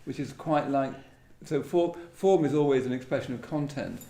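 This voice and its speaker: A man with a gravelly, relaxed voice talks about poetry as an interviewer. He muses appreciatively and a little haltingly, in a space with clear echo. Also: medium pitch. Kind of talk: reflective literary conversation. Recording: roomy live event sound.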